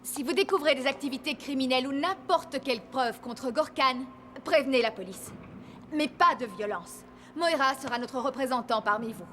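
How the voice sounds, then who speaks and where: A woman's voice: sits high, moderate pace, sharp tone, a little hiss or hum. A woman playing a principled, serious scientist in a cartoon, earnest and explanatory. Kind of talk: dubbed cartoon acting.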